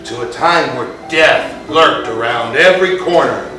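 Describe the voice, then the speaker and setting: A man playing a sinister, theatrical horror host with a drawn-out, ominous delivery in a spooky stage introduction. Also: mid-range voice, close to an everyday voice. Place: indoor theatre, recorded from far off. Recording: distant roomy hall recording with music.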